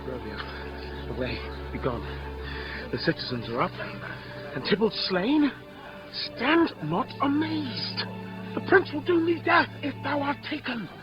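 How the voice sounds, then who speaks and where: An actor playing a loyal, alarmed young companion in a classical radio drama, urgent and exclamatory. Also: male voice, very high-pitched, sing-song, unhurried, long pauses, full character voice. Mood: urgent, frightened. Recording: dull lo-fi radio drama with music.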